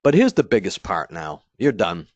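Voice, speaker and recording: Low-voiced man rambling through training. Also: big pitch swings, normal speed, darkish tone, dry recording. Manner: casual, rambling, many fillers and restarts; acts out an installer's inner thoughts. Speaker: company product trainer. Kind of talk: dealer training webinar. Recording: dry, slightly dull webinar audio.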